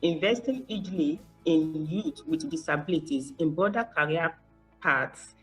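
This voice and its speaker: Low-voiced woman from Nigeria. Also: unhurried, fairly bright tone, faint music behind, poor audio. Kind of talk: short advocacy statements.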